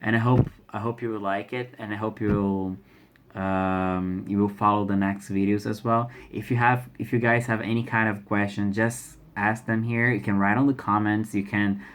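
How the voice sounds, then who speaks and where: A man's voice: lowish voice, little pitch movement, normal speed, fairly bright tone. A young man from Brazil with a Brazilian Portuguese accent, speaking English as a second language in a casual vlog, often restarting and repeating words. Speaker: language learner sharing exam experience. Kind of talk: casual vlog talk to camera.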